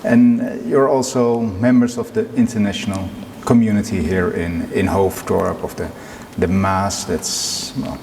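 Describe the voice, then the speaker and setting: A man's voice: lowish voice, fairly even intonation, unhurried, gravelly, hardly any pauses, a little mellow. A man interviewing parishioners in a church, asking questions with many hesitations. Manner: hesitant, friendly questions with fillers. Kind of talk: church community video interview. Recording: long reverb, faint noise.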